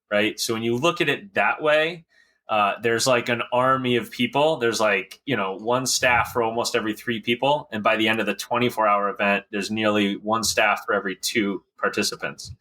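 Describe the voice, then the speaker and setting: Male podcast guest from Connecticut, bright forceful voice, casual and a little halting. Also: on the low side. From Connecticut, USA. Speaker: podcast guest, race director. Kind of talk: casual interview chat.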